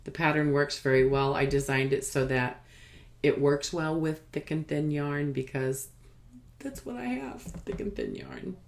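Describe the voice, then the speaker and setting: Woman podcaster w a low voice, really slow casual chat, halting, kinda talks to herself. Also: big pitch swings, gravelly. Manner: halting, trailing off, talking to herself. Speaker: podcast host showing knitting and spinning.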